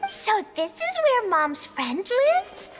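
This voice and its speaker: An eager little girl with a tiny, very high voice that swoops with excitement. She talks in unhurried, chirpy game-cutscene lines over loud music. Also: exaggerated voice. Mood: eager, excited. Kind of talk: video game cutscene acting. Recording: prominent game music behind.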